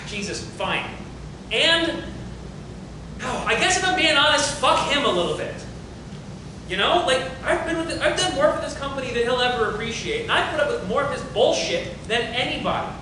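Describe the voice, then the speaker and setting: A man's voice: lively intonation, moderate pace. A man playing a cocky, self-justifying company dealmaker with a casual, sardonic, profane way of talking, in a play's table reading. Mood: defensive, grudging. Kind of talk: dramatic table reading of a stage play. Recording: very echoey room, noisy rumble.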